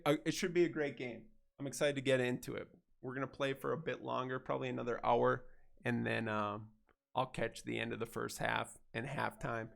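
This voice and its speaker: Congested, gravelly-voiced male streamer. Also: medium pitch. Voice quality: nasal. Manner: easygoing, chatty, thanks viewers warmly. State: congested from a head cold. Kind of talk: casual gameplay livestream chat.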